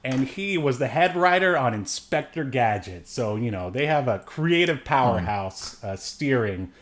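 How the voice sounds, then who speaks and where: A man in his late thirties with an average-pitched voice talks as a podcast co-host. He reviews films casually, wandering through halting sentences and trailing off into asides. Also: animated, fairly bright tone. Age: late 30s. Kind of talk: casual film review chat.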